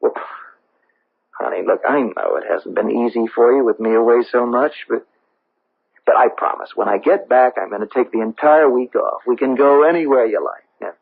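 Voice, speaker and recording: An actor playing an affable, oblivious middle-aged husband in a radio drama, halting and folksy as he placates. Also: medium pitch, vocal fry, breathy edge. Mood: affable, apologetic. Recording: tinny, band-limited vintage radio recording.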